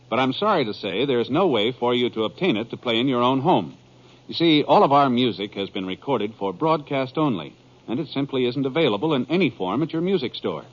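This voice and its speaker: A man with an American accent announcing in a scripted, polished and genial 1950s radio style. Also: mid-range voice. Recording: dull 1950s radio recording.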